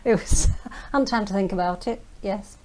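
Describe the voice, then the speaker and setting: A woman of about seventy tells a story about her retirement in a chatty way. Music plays faintly behind her. Her voice sits at a middle pitch. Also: normal speed, warm tone. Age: 70s. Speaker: retired interviewee. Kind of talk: casual interview.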